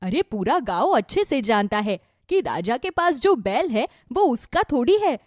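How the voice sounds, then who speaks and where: A woman voicing a chatty, inquisitive village wife in a cartoon moral story, expressive and gossipy. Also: on the high side, measured pace, dry recording, clean studio sound. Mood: curious, gossipy. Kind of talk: Hindi animated moral story dialogue.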